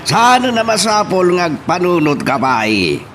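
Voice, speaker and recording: A man playing a scheming, commanding landowner and family patriarch in an audio drama, speaking in deliberate, domineering phrases. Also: high-pitched, big pitch swings, a bit bright. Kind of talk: Ilocano audio drama acting. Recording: faint background noise.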